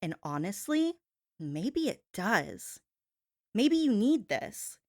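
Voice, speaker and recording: A woman's voice: mid-range voice, big pitch swings, darkish tone, no echo, studio quality. A woman delivering a performed gossip-show monologue as a host, sassy and theatrical, leaning on words for dramatic emphasis. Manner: sassy, theatrical, drawn-out emphasis, addresses listener as babe.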